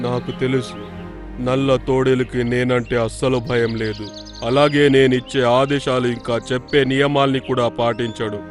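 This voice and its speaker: A man voicing a stern, resolute lion king of the forest in a children's cartoon, with a measured, authoritative manner. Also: medium pitch, steady tone, over music, close to an everyday voice. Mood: grave, concerned. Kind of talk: Telugu children's cartoon voice acting.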